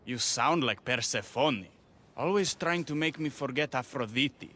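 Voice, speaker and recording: An actor playing a sly, lovestruck troublemaker in a video game, with a playful, poetic, flamboyant manner. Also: male voice, mid-range voice, lively intonation, measured pace, bright, forceful tone.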